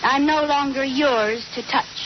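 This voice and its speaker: An American actress playing a flustered, indignant wife in a theatrical, exasperated old radio comedy style. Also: female voice, high-pitched, fairly even intonation, a little slow. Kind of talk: 1940s radio comedy acting. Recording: muffled, noisy old radio recording.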